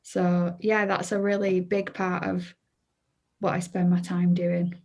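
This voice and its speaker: A woman's voice: fairly low pitch, little pitch movement, unhurried, a little mellow. A woman, telling her story as an interview guest. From Leeds, England. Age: 20s. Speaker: guest, charity youth worker. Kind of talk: interview answers.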